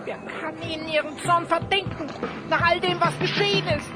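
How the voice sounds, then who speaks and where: A woman's voice: extremely high pitch, steady tone, moderate pace, over loud music. A woman playing a small, plucky, conscientious magical sidekick in an audio drama, with an urgent, theatrical delivery. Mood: torn, anxious. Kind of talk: German audio drama acting.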